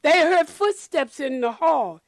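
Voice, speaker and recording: Female storyteller for children's picture book read-aloud: high register, unhurried and expressive, dry sound. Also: no room sound. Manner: deliberate, addresses children and explains pictures.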